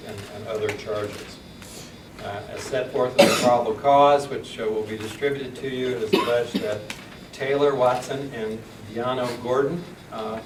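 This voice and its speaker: Prosecutor man reading charges, formal and slow with lots of uhs, echoey room. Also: medium pitch. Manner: measured, reads charges with many uh fillers. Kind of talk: formal press conference statement. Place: press conference room. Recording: echoey room, distant mic, background noise.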